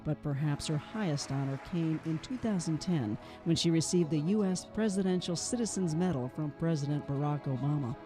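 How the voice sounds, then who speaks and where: A narrator reading a formal tribute script at a measured pace. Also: medium pitch, faint music behind.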